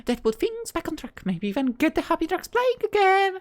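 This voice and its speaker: A woman voicing an earnest high school girl from a visual novel, in an airy anime style. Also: very animated, a little fast. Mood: brisk, cheerful.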